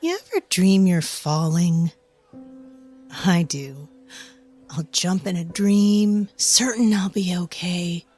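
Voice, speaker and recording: Brooding female storyteller, lowish pitch, breathy, dramatic audio drama narration, unhurried. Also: lively intonation, a little mellow. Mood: brooding, hushed. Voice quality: breathy, husky, near whisper at times. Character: brooding, haunted female storyteller of dark fantasy. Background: music.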